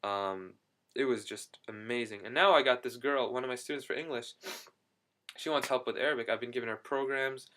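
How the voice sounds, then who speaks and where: A young man in a casual video diary, talking excitedly and rambling with quick restarts. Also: mid-range voice, a little hiss or hum. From United States. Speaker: vlogger.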